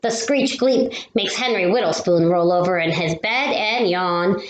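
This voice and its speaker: A woman reading a children's storybook aloud expressively, with animated, drawn-out emphasis. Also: lowish voice. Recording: roomy echo with faint noise.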